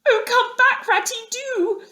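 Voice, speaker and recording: A woman's voice: very high voice, unhurried, bright, forceful tone, roomy sound, voice pushed up, heavily acted. A woman voicing a timid, tearful male mole in a storybook, sobbing and pleading in a wailing delivery. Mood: anxious pleading.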